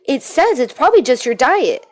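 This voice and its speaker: A woman voicing a squeaky, timid but eager and kind young knight in a children's storybook. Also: sing-song, moderate pace, darkish tone. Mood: eager, helpful.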